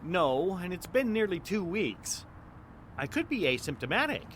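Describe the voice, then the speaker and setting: A man's voice: high-pitched, very animated, normal speed, a bit bright, slight background noise. An actor playing a timid, apologetic American neighbor man in an audio drama, hesitant and stammering. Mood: apologetic, explaining.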